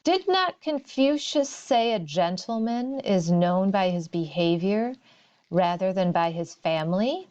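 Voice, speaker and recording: A wise old schoolmaster from a storybook, read by a woman in a slightly muffled recording. He speaks slowly and formally, weighing each phrase with courteous, generous authority. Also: mid-range voice, animated, a little mellow, lightly acted. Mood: thoughtful. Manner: formal, measured, sententious.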